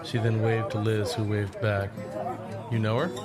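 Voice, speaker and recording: Man acting young male narrator, low voice, reflective, first-person audio drama, music behind. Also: little pitch movement, a little slow, over a noisy crowd, natural voice. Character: reflective young male traveler back from a long walk. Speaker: narrator and lead character.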